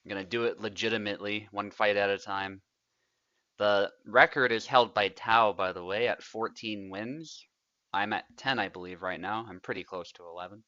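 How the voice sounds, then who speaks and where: A man's voice: fairly low pitch, moderate pace, no room sound, highs cut off. A young man, casually commentating a tournament he is also playing in, chatty and laughing often. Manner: relaxed, chatty, laughs often, trails off quietly.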